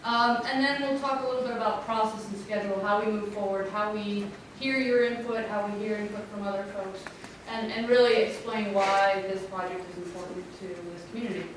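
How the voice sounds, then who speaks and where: A woman with a loud, clear voice presenting a water infrastructure plan at a public community meeting. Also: medium pitch, fairly even intonation, brisk, hardly any pauses. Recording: echoey room, distant mic, background noise.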